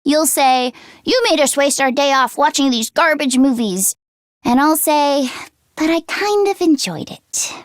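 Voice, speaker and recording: An earnest teenage girl fighter from an English-dubbed video game. Her voice sits high and swings widely in pitch, expressive and easily worried, with a caring streak. The sound is dry and close, like a studio game recording. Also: measured pace, dry recording. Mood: playful, wistful. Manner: expressive and emotive, with sighs and gasps.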